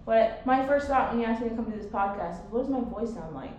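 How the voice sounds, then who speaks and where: A woman's voice: fairly high pitch, animated, fast talker, darkish tone, highs cut off, slight background noise. A woman guest on a podcast who talks bluntly, swears often and laughs a lot. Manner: blunt, profane, frequent laughter. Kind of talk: casual podcast chat. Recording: very echoey room.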